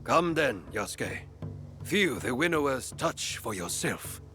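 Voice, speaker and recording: An actor playing a wry, battle-worn ronin and former general in a video game, with a deliberate, knowing, formal manner. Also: male voice, mid-range voice, very animated, pushed and bright, faint music behind, full character voice. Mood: challenging. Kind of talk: dramatic video game acting.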